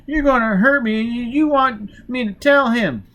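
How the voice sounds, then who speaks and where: A storybook child, pitched far above an adult male voice. He is a frightened, tearful little boy with an ancient air, whining and stammering in loud, childish protest. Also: measured pace, heavily acted. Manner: whiny, stammering childish speech.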